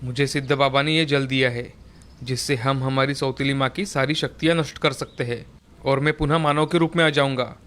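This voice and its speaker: A man's voice: mid-range voice, steady tone, a little fast, fairly bright tone, natural voice. A man playing an earnest young brother turned into a deer in a children's fairy tale.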